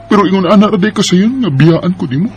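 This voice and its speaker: A man's voice: high voice, expressive, quick pace, soft background music. A man with a Cebuano Filipino accent playing an emotional young man in a radio drama. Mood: hurt, accusing. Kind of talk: Bisaya radio drama acting. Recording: faint noise and ambience behind.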